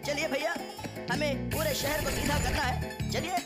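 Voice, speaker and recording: Cartoon cat: high voice, good-natured, earnest little brother, theatrical, over loud music. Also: male voice, fairly even intonation, normal speed. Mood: earnest, determined. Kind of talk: Hindi cartoon dubbing.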